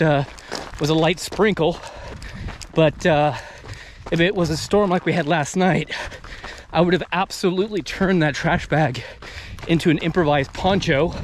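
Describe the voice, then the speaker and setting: A man in his thirties talking casually to viewers as the host of an outdoor video channel, out in the open. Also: on the high side. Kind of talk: casual freestyle vlog talk to viewers. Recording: noisy outdoor field recording.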